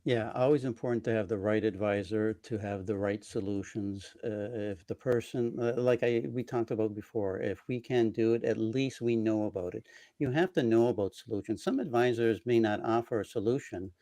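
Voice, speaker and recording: Male panelist and advisor, low warm register, informal delivery. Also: normal speed, dry recording.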